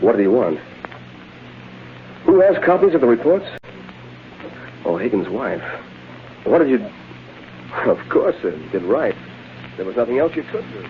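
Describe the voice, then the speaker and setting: A man's voice: medium pitch, very animated, moderate pace, pauses often, natural voice. A man playing a crooked, bullying official in old-time radio drama style. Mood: nervous, deferential. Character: crooked menacing male highway official, cold and bullying. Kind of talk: 1930s-40s radio acting. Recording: tinny, noisy vintage radio recording.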